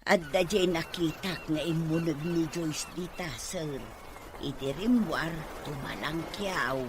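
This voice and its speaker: A woman's voice: sits low, slow, noisy background. A woman with an Ilocano accent playing a respectful, inquisitive household maid in an audio drama serial. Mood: dutiful.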